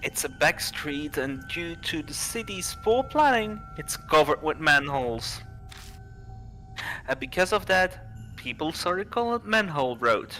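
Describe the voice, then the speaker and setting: A man reading visual novel dialogue and narration aloud on a livestream, halting and stumbling over some words. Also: fairly high pitch, sing-song, sharp tone, soft background music.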